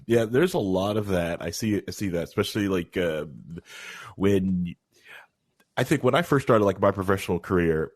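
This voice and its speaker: A man with a deep, bass-heavy voice, talking in a relaxed, thoughtful way in a casual podcast discussion. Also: normal speed, no room sound. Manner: relaxed, thoughtful, with many fillers.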